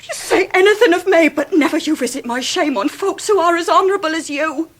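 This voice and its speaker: A woman's voice: very high-pitched, a little fast. An actress playing a disgraced young Victorian woman, imploring and emotional in a radio drama. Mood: desperate defiance. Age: young adult. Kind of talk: 1990s radio drama acting.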